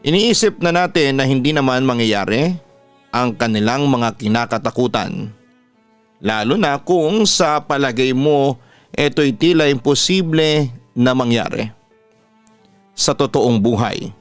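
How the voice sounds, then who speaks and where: A man's voice: medium pitch, lively intonation, measured pace, long pauses, clean tone. A man with a Filipino accent, a radio announcer reading a scripted first-person story as host. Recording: music playing behind the voice. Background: background music.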